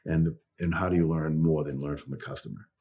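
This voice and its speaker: A man's voice: very deep voice, fairly even intonation, fairly quick, vocal fry, dark tone, slightly rough. A middle-aged man with a Boston accent, a guest telling his story in a chatty interview, full of asides. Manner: chatty, tells stories with quoted dialogue. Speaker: guest, company phone coach.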